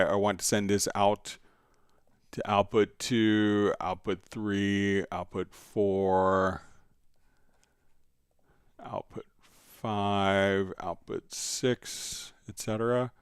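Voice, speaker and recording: Low, smooth-voiced man teaching live. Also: level tone, drawn-out words, no echo, studio quality. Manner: conversational, explains step by step. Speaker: livestream host and software instructor. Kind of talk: casual live tutorial, answering viewer questions.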